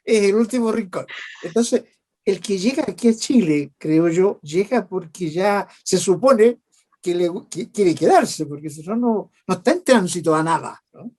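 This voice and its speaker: An interviewer makes his case emphatically, piling up rhetorical tag questions. His voice has a husky edge and sits at a middle pitch. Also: lively intonation, moderate pace, dark tone. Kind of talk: interview, frequent backchannel.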